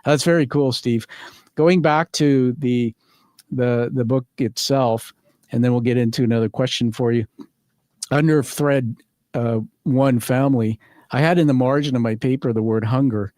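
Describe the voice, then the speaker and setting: A male podcast host talking conversationally with a guest. He is effusive and encouraging, and wanders into asides as he goes. The sound is dry, with very little room on it, and his pitch is average for a man. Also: fairly even intonation, normal speed, a little mellow, dry recording. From born on a military base in the UK. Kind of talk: conversational interviewing.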